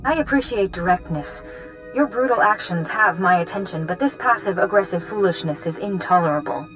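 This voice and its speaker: A woman's voice: mid-range voice, animated, brisk, fairly bright tone, faint music behind, lightly acted, poor audio. A woman playing an imperious, blunt adversary in a video game, speaking formally and haughtily. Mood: stern, impatient. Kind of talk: video game dialogue acting.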